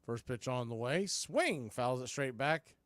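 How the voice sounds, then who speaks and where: A man's voice: mid-range voice, lively intonation, a little slow, no echo. A man calling a baseball game live as a play-by-play announcer.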